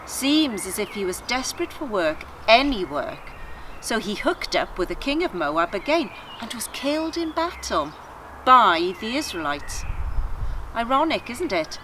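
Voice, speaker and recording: A woman's voice: fairly high pitch, sing-song, a little slow, sharp tone, slight background noise, exaggerated voice. A woman voicing a female talking donkey from a storybook, wry and self-amused. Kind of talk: storybook character dialogue.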